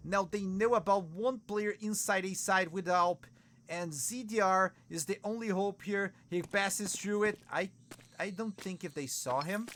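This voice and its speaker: A man from Brazil with a Brazilian Portuguese accent giving excitable live commentary on a shooter match, scolding players and chatting with viewers. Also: sits high, unhurried, a little hiss or hum. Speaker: esports streamer commentator. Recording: loud gunfire game audio behind. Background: game gunfire and clicks.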